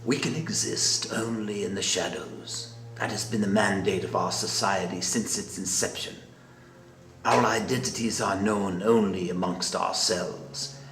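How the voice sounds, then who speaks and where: An actor playing the composed, menacing leader of a secret murderous society, speaking in formal, measured oratory in a film drama. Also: male voice, lowish voice, fairly bright tone, reverberant.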